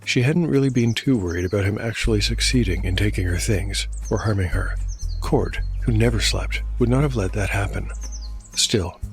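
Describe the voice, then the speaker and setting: Man, lowish voice, podcast narrator and host, scripted delivery, faint music behind. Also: moderate pace.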